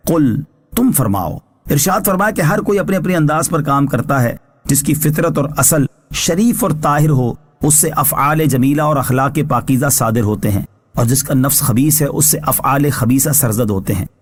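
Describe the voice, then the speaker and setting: A man reading scripted Islamic translation and commentary in a measured, formal, instructive way, as a scholar would. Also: on the high side. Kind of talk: scripted religious exegesis narration.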